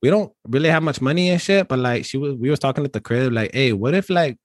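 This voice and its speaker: A man's voice: medium pitch, expressive, quick pace, dry recording, studio quality, natural voice. A man playing a pushy, slangy young hustler in an improv comedy sketch, calling everyone fool. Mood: scheming. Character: brash streetwise young man scheming over cranberries. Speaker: improv performer.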